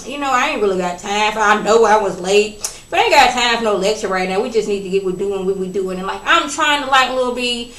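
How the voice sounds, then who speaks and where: A woman casually recapping a reality TV episode in a chatty, animated way, acting out what people said. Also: mid-range voice. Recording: roomy, distant from the mic.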